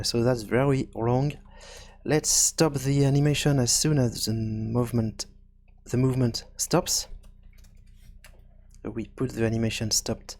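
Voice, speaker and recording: A man hosting a casual screencast programming tutorial, thinking aloud in a halting way and often repeating words as he goes. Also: medium pitch, darkish tone. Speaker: tutorial host and game developer.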